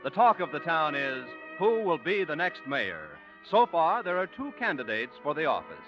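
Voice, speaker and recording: A man's voice: on the high side, sing-song, normal speed. A man announcing and narrating a 1950s radio comedy in a formal, declamatory way. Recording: dull old 1950s radio broadcast with music.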